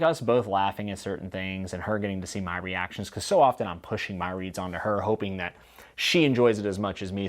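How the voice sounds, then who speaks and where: Low-pitched man, enthusiastic book reviewer. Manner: enthusiastic, emphatic, informal. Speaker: book review channel host. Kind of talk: casual monthly book wrap-up to camera.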